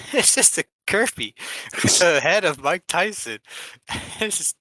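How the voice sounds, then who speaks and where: A man co-hosts a casual podcast in a very bright, forward voice. He speaks slowly and haltingly, sounding easily amused. The recording is close and dry. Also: sits high, very animated, dry recording. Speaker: podcast co-host. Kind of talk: casual chat.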